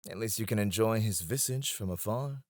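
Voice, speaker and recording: A man's voice: fairly low pitch, darkish tone, no echo, studio quality, close to an everyday voice. A man playing a devoted, teasing royal advisor in a romantic ASMR roleplay, speaking in a formal, courtly way.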